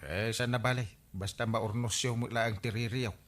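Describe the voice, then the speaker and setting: A man playing a genial, excitable village captain with an Ilocano accent in a radio comedy drama, full of exclamations. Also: medium pitch, moderate pace, natural voice. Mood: calming.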